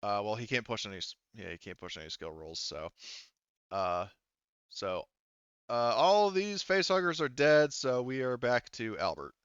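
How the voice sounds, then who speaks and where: A man acting as game master of a casual tabletop roleplaying game, speaking haltingly as he thinks through the rules aloud. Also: mid-range voice, expressive, smooth, no echo, muffled recording.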